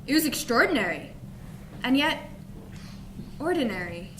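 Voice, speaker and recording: A woman's voice: high voice, big pitch swings, moderate pace. A woman acting an earnest, pompous theatregoer in an amateur stage comedy. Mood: earnest, pretentious. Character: earnest, pretentious theatregoer gushing self-contradictory praise. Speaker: student actor in a school play. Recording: echoey stage recording with faint noise.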